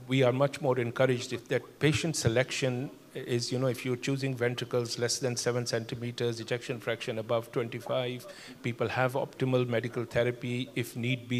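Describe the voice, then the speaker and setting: An older man, a cardiologist on a conference panel, explaining cases in a measured way with many fillers. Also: medium pitch. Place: large conference hall. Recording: long hall reverb.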